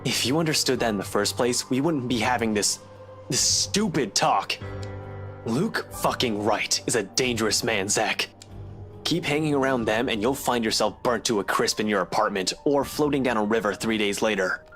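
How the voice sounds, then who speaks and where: A stern investigator who lectures bluntly and cuts others off, voiced by a male reader. His voice sits at an ordinary middle pitch, with soft music in the background. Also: moderate pace, close to an everyday voice. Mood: angry. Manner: curt, scolding, swearing.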